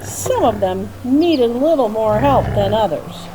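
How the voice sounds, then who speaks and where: A woman telling a story to young children in an expressive, dramatic manner, with emphatic repeated phrases. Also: fairly high pitch, unhurried, very noisy. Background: music and sound effects.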